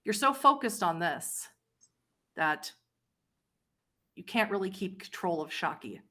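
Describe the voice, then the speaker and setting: A woman with a slightly low voice leads a tabletop roleplaying session as game master. She describes scenes to her players and explains the rules as she goes. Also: expressive, measured pace, bright, forceful tone.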